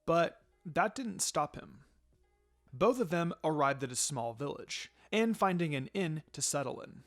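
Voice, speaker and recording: A man's voice: on the high side, expressive, unhurried. A man narrating a scripted fan fiction story as a storyteller. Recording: music behind the voice.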